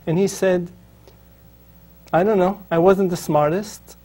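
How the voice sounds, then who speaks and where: Hesitant Israeli man, dark and flat. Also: high voice, measured pace. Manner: hesitant, many ums and restarts. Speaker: author, interview guest. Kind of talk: reflective interview answers.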